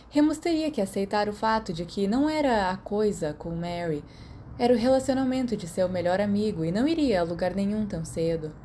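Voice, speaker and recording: A woman's voice: on the low side, mellow, a little hiss or hum. A woman with a Brazilian accent reads a story aloud as scripted audiobook narration. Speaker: podfic narrator.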